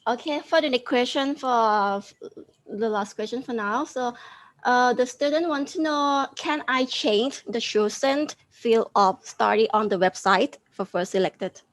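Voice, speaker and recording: A woman moderating a webinar Q&A, polite and soft-spoken, thanking speakers. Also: on the high side, a little slow. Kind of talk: moderating questions.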